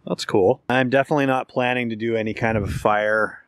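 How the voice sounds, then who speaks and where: Male vlogger, thirties, medium pitch, informal rambling chat, dry open-air sound. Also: steady tone, fairly quick, dry recording. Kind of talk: casual outdoor vlog chat. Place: outdoors beside and inside a parked truck. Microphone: handheld camera at arm's length.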